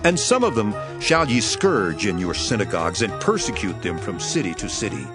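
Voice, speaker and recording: A stern, righteous prophet delivers deliberate, declamatory rebukes in a dramatized scripture reading. His pitch rises and falls dramatically, and music plays clearly beneath him. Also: very animated. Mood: impassioned condemnation. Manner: declamatory, deliberate archaic oratory. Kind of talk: dramatized King James scripture performance. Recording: music under the voice.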